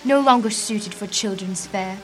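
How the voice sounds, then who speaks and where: A woman's voice: mid-range voice, normal speed, a bit bright, lightly acted. A woman playing a sixteen-year-old girl in a radio drama, yearning and eager, with florid old-fashioned phrasing. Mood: eager, curious. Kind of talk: theatrical radio drama acting. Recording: music and rain behind the voice.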